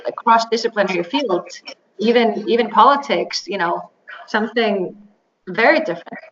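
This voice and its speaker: A woman, answering interview questions in English with many pauses, fillers and restarts. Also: mid-range voice, a bit bright. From Iceland. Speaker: interview guest, biotech executive. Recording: slightly dull, faint noise.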